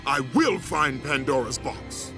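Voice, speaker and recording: An actor playing a vengeful, anguished Spartan warrior in a video game, with a gruff growl and slow, grandly defiant delivery. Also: male voice, mid-range voice, animated. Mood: defiant rage. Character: vengeful, battle-hardened Spartan warrior man, fierce and anguished. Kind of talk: dramatic video game cutscene acting. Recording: background music under the voice.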